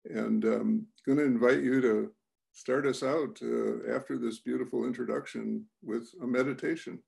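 An older man hosting a panel over a video call from his home study, gentle and self-deprecating. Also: mid-range voice, fairly even intonation, moderate pace, gravelly. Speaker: host. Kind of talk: moderating a panel.

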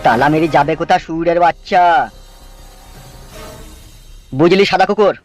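A man's voice: fairly high pitch, quick pace, faint music behind, natural voice. A man voicing a vengeful, foul-mouthed gang boss who barks coarse threats in a comedy cartoon. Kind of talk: comedy cartoon villain acting.

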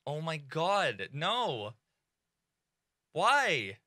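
A young man in casual gaming commentary from a small home room, excitable and swearing freely, with many fillers. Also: a little high, drawn-out words, dry recording, studio quality. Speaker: gaming streamer.

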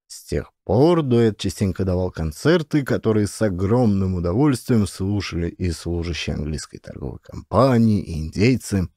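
A male audiobook narrator tells an adventure story in a fairly low, mellow voice. His intonation moves around a lot, giving the reading an expressive storyteller's feel. The recording is close and dry. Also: no echo.

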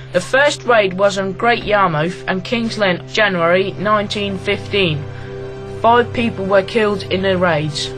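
A young man from Latvia reading aloud a school heritage project, careful and a little halting, with faint music underneath. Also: high voice, fairly bright tone, low-quality recording. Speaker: student presenter.

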